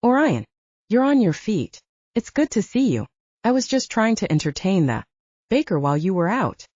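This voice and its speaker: A woman's voice: medium pitch, normal speed, dry recording, dull audio. Woman voicing a pushy, flirtatious male suitor. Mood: awed. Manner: flattering, suggestive. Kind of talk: audiobook character dialogue.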